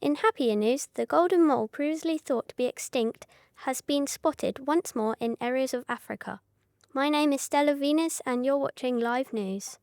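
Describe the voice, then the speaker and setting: A polished young news anchor, played by a woman, with a high voice reads formally in a radio drama. The sound is dry and studio-close. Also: normal speed, darkish tone, no room sound. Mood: composed. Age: young adult. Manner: formal, composed newsreading.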